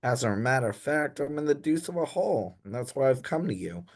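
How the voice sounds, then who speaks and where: An actor playing an anxious, dim young gentleman in a comic period radio play, dithering and apologetic in posh old-fashioned slang. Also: mid-range voice, sing-song, fairly quick. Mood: sheepish. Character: anxious, dim young upper-class Edwardian gentleman in trouble.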